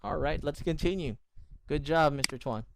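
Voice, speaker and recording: A man's voice: on the high side, moderate pace, background noise. Encouraging male English teacher on a video call. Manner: encouraging, corrective, repeats praise. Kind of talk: online one-to-one pronunciation lesson.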